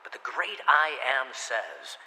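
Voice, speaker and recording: A man's voice: mid-range voice, huge pitch swings, slow, pushed and bright, a little hiss or hum, heavily acted. An actor playing a fervent male preacher delivering a declamatory, rhetorical sermon in a radio drama. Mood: fervent, exhorting. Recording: long hall-like reverb.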